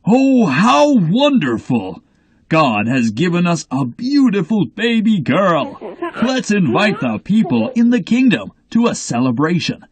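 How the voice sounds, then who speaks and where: A man acts a protective, devout storybook king. His slow delivery swings extremely widely in pitch. He exclaims grandly and theatrically. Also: sing-song, breathy edge, exaggerated voice. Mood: joyful. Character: devout, doting king, male, protective of daughter. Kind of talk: storybook audio drama for children.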